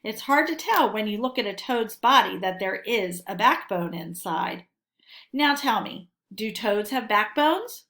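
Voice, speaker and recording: A woman teacher reading a lesson aloud for children in a deliberate, instructional way, posing questions and answering them. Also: mid-range voice, animated, fairly bright tone.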